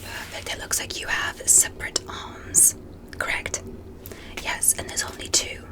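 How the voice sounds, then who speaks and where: Woman as a whispering, gentle alien doctor. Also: unhurried, close to an everyday voice. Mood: gentle, curious. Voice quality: breathy, unvoiced whisper. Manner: careful, encouraging, formal clinical phrasing. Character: gentle, curious alien doctor examining a human. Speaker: ASMR performer. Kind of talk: ASMR medical roleplay, whispered.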